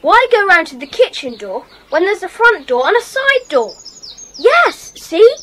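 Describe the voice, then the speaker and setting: A woman's voice: very high voice, big pitch swings, unhurried, fairly bright tone, heavily acted. A woman playing a bold, inquisitive young boy detective with an eager, earnest manner in a children's audio drama. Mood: curious, eager.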